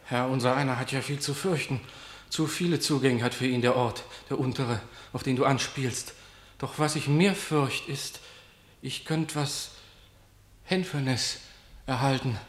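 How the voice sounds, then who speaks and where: A man's voice: medium pitch, a little slow, lightly acted. An actor playing an anxious, out-of-breath guard in a classical verse radio play, hesitant and evasive before his master. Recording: roomy reverberant room.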